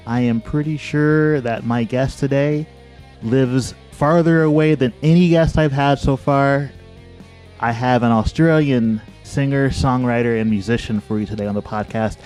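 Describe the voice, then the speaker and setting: Man, American accent, podcast host, mellow tone, upbeat manner, faint guitar behind. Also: mid-range voice, normal speed. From Dayton, Ohio. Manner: upbeat, announcer-like intro, friendly questions. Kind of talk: podcast interview.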